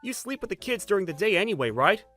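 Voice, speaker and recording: A woman's voice: medium pitch, very animated, quick pace, a bit bright, soft background music, pitched below the performer's own voice. A woman voicing a dismissive, scornful husband in a manga dub, speaking with belittling sarcasm. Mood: mocking. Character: dismissive, scornful husband of exhausted young mother.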